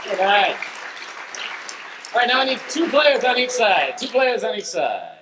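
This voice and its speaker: Guy mc at an improv night, kinda high voice, stumbles while explaining stuff, echoey stage. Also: very animated, crowd noise, background noise. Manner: hesitant, halting delivery. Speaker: improv show host. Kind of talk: live improv MC explaining games. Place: theatre stage in front of audience. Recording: echoey live stage room.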